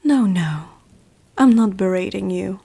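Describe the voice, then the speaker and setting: A woman voicing a powerful, teasing female vampire noble in an ASMR roleplay, speaking softly and often close to a whisper, with an aristocratic, self-assured manner. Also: mid-range voice, lively intonation, a little slow, heavily acted. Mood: intrigued, teasing. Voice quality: soft, breathy, often near whisper. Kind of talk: ASMR roleplay addressed to the listener.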